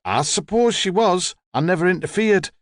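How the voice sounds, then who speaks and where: A man voicing a haughty, demanding old woman with a hoarse, rasping voice, in an audiobook story. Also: high voice, lively intonation, normal speed, dry recording, studio quality, pitched above the performer's own voice. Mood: opinionated, dismissive. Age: older. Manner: demanding, curt, imperious. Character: sharp, haughty, opinionated old woman, large and deaf.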